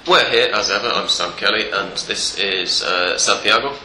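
A congested man hosts a football chat podcast, his fairly low voice bright and forceful with a stuffed-up edge. He rambles easily through fillers and restarts. The living room echoes around him, with faint noise underneath. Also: normal speed. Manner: relaxed, rambling with many fillers. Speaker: podcast host.

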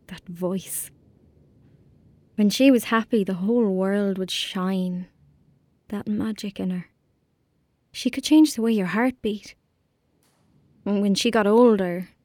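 A woman's voice: medium pitch, a little mellow, no echo, lightly acted. Woman acting as lonely irish country girl in a radio play, blunt and dreamy, very dry close sound. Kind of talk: audio drama acting.

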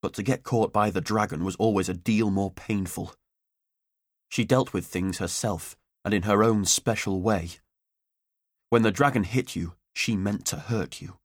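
A man's voice: lowish voice, measured pace, slightly rough, no echo, clean studio sound. A man reading a children's story as an audiobook narrator, in scripted narration.

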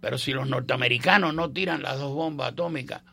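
A man's voice: mid-range voice, brisk, creaky, pushed and bright, husky. An older man from Cuba with a Cuban accent, hosting a radio show and chatting about history in a rambling, joking way with many fillers and restarts. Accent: Cuban Spanish. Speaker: radio host. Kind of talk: casual on-air history chat.

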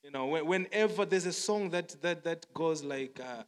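A man's voice: a little high, normal speed. A man from Zimbabwe preaching a sermon, repeating phrases, quoting songs and restarting his words often. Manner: repetitive, song-quoting, stammering restarts. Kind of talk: sermon with testimony. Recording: long hall reverb.

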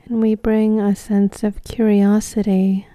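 A woman guides a meditation with a deeply mellow, relaxed voice. She speaks slowly and gently in short phrases, leaving long pauses between them. The sound is dry, with very little room around her. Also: no room sound.

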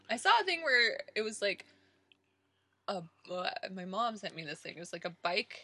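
A woman's voice: mid-range voice, sing-song, a little slow, pushed and bright. A woman co-hosting a casual podcast, playful and wry, laughing often. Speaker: podcast co-host. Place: rural home studio.

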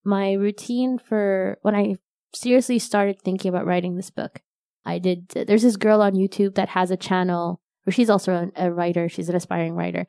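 A young woman whose first language is Arabic, chatting casually as a guest in an interview, self-deprecating and quick to laugh. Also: medium pitch, little pitch movement, vocal fry, warm tone, clear, resonant tone, no echo, clean studio sound. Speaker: novelist guest.

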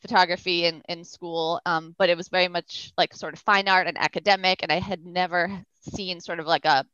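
A fairly low-pitched woman talks loosely in an interview, piling on fillers as she rambles through her answers. The sound is dry and a little dull, with no room echo. She comes across as a young adult from the Boston suburbs. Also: steady tone, fairly quick, bright, forceful tone, clean tone. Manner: rambling, many fillers like "like" and "you know". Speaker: photographer, guest. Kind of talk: casual interview chat.

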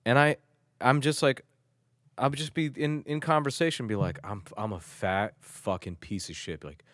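A man's voice: medium pitch, moderate pace, fairly bright tone, dry recording, clean studio sound. A man from Turkey talking in loose casual chat, rambling and self-mocking, with lots of swearing and restarts.

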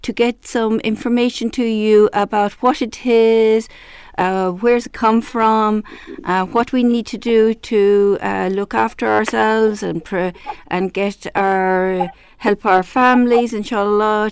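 Irish woman radio host, smooth voice, dry close sound, loose chatty delivery with fillers. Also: mid-range voice, fairly even intonation, takes it slowly, dry recording. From Ireland. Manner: hesitant, chatty, many fillers and restarts. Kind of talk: casual radio interview.

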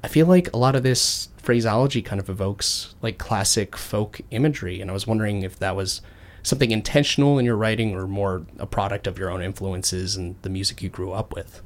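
A man hosting a podcast, asking relaxed, measured questions with ums. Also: lowish voice. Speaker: podcast host. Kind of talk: casual podcast interview.

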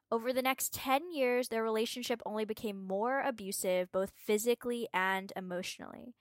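A woman's voice: a little high, fairly bright tone, no room sound. A woman reading a prepared text aloud as a guest presenter.

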